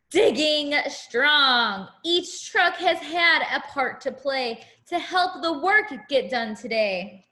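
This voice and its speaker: Slow, high-voiced woman reading to children. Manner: expressive, deliberate, explains simply to young children. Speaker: teacher reading aloud to students. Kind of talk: children's picture book read-aloud. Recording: echoey room.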